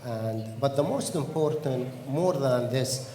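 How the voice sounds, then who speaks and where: Halting man in echoey hall. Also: mid-range voice, lively intonation, mellow. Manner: halting, simple non-native English phrasing. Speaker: horse breeder on a panel. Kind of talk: panel discussion answer. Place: large conference hall. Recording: echoey, reverberant conference hall.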